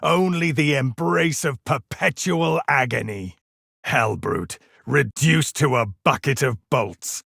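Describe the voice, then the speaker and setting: A man's voice: mid-range voice, takes it slowly, creaky, fairly bright tone, breathy edge, dry recording, clean studio sound, exaggerated voice. A man voicing a sombre, disdainful space marine in a video game, speaking with grave, formal scorn. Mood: sombre contempt. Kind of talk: video game battle barks.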